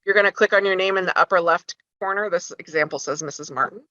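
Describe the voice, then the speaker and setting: A woman's voice: on the low side, fast, pushed and bright, no echo. A woman teaching parents how to use school apps, talking casually with frequent ums and restarts. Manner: conversational, many ums and restarts. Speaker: school tech trainer for parents. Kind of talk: instructional walkthrough for parents. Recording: slightly dull lo-fi sound.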